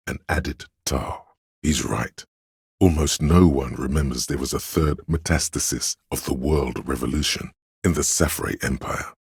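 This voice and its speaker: A learned, solemn inner voice of trivia for a video game. The pitch is exceptionally deep and the tone heavily husky, recorded close and dry. Delivery is measured and erudite, like scripted narration of obscure facts. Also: male voice, no room sound, exaggerated voice. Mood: measured, scholarly, then grave, reflective.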